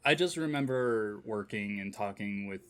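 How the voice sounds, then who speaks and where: A man's voice: lowish voice, lively intonation, moderate pace, a bit bright. A man chatting casually as a panelist on a podcast. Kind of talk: casual chat.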